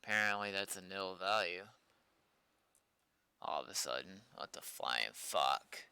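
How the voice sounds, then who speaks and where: A man with a fairly low, very bright and forceful voice mutters casual commentary as he works at his computer. He talks to himself in a frustrated, sweary way. The sound is close and dry. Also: lively intonation, a little slow, vocal fry, smooth, no room sound. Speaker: livestreaming game server developer. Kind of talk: casual muttered commentary while coding on stream.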